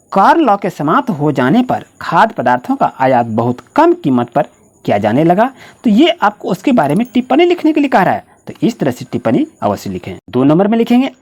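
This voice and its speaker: Female online tutor. Slightly low register, very wide pitch movement, instructional step-by-step dictation to pupils. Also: darkish tone. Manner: directive, repetitive step-by-step explaining. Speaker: online school history teacher. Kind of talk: instructional lesson, dictating answers to students.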